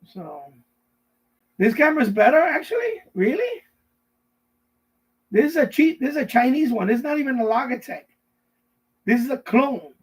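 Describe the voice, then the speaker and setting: High-pitched, husky middle-aged man. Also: big pitch swings, moderate pace, long pauses, low-quality recording. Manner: rambling, repetitive, answering viewers' messages. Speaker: live stream host. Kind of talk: casual live stream chat. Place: basement at home.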